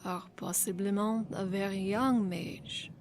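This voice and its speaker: A woman's voice: medium pitch, fairly even intonation, unhurried, music in the background, natural voice. A woman voicing a foppish masked male bard with a French accent, in a languid, drawling manner. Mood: arch, dismissive.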